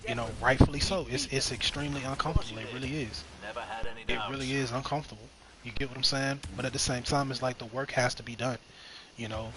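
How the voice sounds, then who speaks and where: A man in his twenties talking reflectively about spiritual growth while playing a game, rambling and repeating phrases with frequent "you know what I mean". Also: mid-range voice. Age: 20s. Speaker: streamer. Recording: noticeable background noise.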